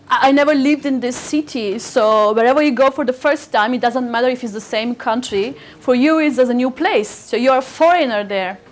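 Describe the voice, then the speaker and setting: A woman's voice: on the high side, a little hiss or hum. A woman in her forties, a native Turkish speaker from Bulgaria, telling a personal story at an event with hesitant fillers and restarts. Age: 40s. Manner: hesitant, frequent fillers and restarts, laughs often.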